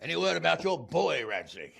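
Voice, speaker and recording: An actor playing a bluff, teasing, bawdy nobleman in a video game, with a gravelly voice. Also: on the high side, big pitch swings, fairly quick, fairly bright tone, heavily acted. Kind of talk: video game cutscene acting.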